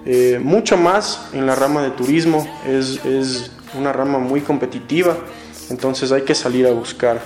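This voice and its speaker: A man's voice: mid-range voice, moderate pace, a little mellow, faint music behind. A young man, a student with an Ecuadorian accent, answering interview questions off the cuff. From Quito, Ecuador. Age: young adult. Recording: echoey room.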